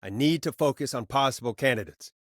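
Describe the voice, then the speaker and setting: A man's voice: mid-range voice, brisk, dry recording, clean studio sound, lightly acted. A man playing an earnest radio host in a video game, conversational and naturalistic, guiding people through emergencies. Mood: wry, exasperated.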